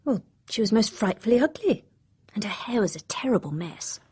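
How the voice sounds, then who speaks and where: A woman's voice: mid-range voice, very animated, normal speed, mellow, slightly rough. An actress playing a frank, well-spoken young woman in a radio drama, polite, with a British accent. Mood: candid.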